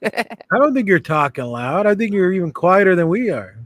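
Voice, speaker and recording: Man, slightly high voice, earnest, reflective, meandering. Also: very animated, moderate pace, no room sound. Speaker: call participant. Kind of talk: casual group chat.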